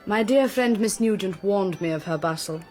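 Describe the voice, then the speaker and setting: A woman playing a frank, sharp-tongued young heiress, arch and articulate, in a period radio drama. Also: medium pitch, normal speed, soft background music, close to an everyday voice. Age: 20s.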